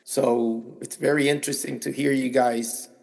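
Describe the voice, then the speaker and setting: Gravelly-voiced man, Spanish first tongue. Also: medium pitch, little pitch movement, normal speed, a little mellow, big-room sound, rough recording. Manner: thoughtful, hesitant with fillers. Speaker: actor. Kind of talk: casual panel chat.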